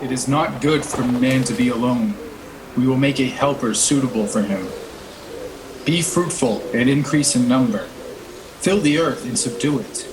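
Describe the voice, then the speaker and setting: A man playing God the Father as a calm, authoritative creator, speaking measured scripture-like lines in a radio play. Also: mid-range voice, natural voice. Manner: measured, solemn, declarative. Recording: noisy home recording, birdsong behind.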